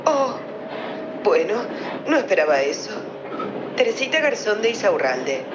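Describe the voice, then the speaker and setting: A woman's voice: mid-range voice, big pitch swings, a little slow. An actress playing a genteel, self-regarding older society lady in a radio play, with an affected, grand manner. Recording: roomy, muffled, noisy recording.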